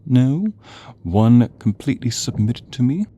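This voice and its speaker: A man playing a sadistic, gloating monster hunter in an audio role play, with slow menace, breathy whispers and sharp commands. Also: medium pitch, animated. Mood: mad, seductive menace. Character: sadistic male monster hunter, mad, theatrical, gloating villain.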